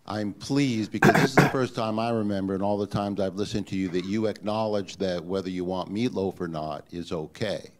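Deep-voiced man challenging the preacher. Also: steady tone, brisk, few pauses, slight background noise. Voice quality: big voice. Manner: challenging, questioning. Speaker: congregant.

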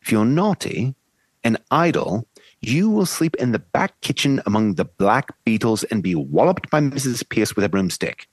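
A man's voice: medium pitch, sing-song, normal speed, breathy edge, no room sound. A man playing an overbearing upper-class English professor, delivering grand, mock-solemn threats and promises. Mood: lordly.

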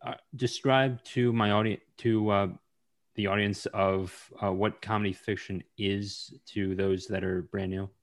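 A man hosting a hobby podcast interview, speaking haltingly with many fillers and restarts. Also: fairly low pitch, level tone. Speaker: podcast host. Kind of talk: casual interview.

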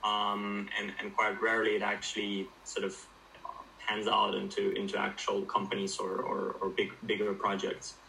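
A young man from Ukraine, a startup founder, talking casually and thoughtfully with frequent pauses and fillers. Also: lowish voice, completely flat delivery, creaky, sharp tone, recorded from a distance, a little hiss or hum, rough recording. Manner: hesitant, many fillers and restarts, thoughtful. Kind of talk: casual interview conversation.

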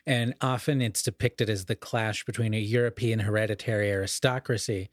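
A man's voice: on the low side, fairly even intonation, brisk, no echo, studio quality. A man co-hosting a podcast, talking casually about literature in a discursive, scholarly way and often correcting himself as he goes. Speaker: podcast co-host.

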